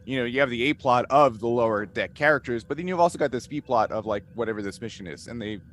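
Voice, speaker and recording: Halting man thinking aloud, noisy recording. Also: mid-range voice, fairly bright tone. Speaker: podcast cast member and game master. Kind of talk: casual panel chat answering fan questions. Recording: noticeable background noise.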